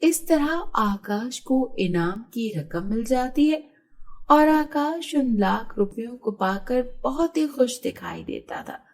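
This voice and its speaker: A woman reading a scripted children's story as narrator. Her voice is fairly high and very animated in melody, and she takes the tale at a slow pace.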